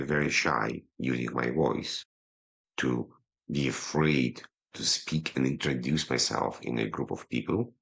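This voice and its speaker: A man with a low voice and a non-native English accent, talking as a podcast guest over a video call, quick but pausing to slow himself down. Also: vocal fry, a bit bright, breathy edge. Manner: quick talker who slows himself down, pausing instead of fillers. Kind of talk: casual interview talk.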